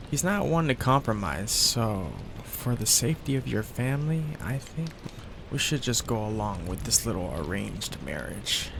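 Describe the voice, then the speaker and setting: Man playing a young mafia heir, hesitant, sheepish, slow, gravelly, rain behind. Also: medium pitch, noisy background. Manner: hesitant and sheepish, with fillers and restarts. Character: soft-hearted young male mafia heir, awkward and devoted. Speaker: ASMR roleplay voice actor. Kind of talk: ASMR roleplay. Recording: steady rain sounds behind the voice.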